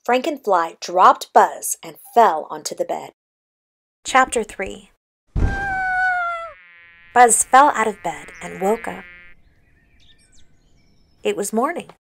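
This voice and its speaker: A woman's voice: medium pitch, animated, takes it slowly, creaky, long pauses. A woman narrating a scripted children's story as a storybook reader. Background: loud buzzing effects and noise.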